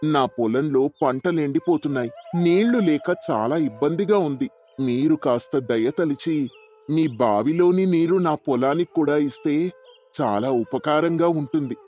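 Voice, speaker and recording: A man's voice: fairly high pitch, a little slow, mellow, faint music behind, close to an everyday voice. Man playing a humble, pleading poor farmer. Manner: deferential, pleading.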